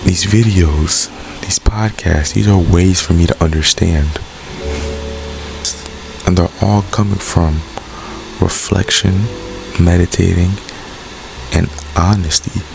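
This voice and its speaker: A man's voice: low-pitched, steady tone, takes it slowly, vocal fry, pauses often, noisy background. A young American man talking earnestly and candidly about his life, repeating phrases for emphasis. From United States. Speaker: vlogger and poet. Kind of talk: reflective personal monologue. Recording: background music.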